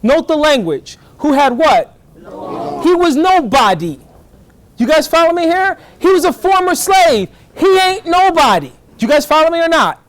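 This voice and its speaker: A middle-aged man giving a lively college lecture in fast bursts, often checking whether the class is following. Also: very high-pitched. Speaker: guest lecturer, professor.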